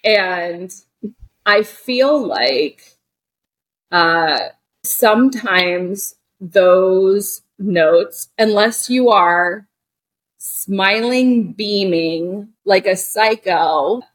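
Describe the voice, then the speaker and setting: A woman's voice: mid-range voice, extremely slow. A woman of forty, a guest on a podcast, talking candidly as an actor and director, searching for words with many fillers and restarts. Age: 40s.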